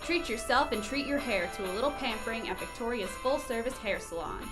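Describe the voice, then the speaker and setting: A woman with a fairly high voice reads a radio advertisement. Music is clearly audible behind her. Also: lively intonation, a little fast. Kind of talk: promotional radio ad read.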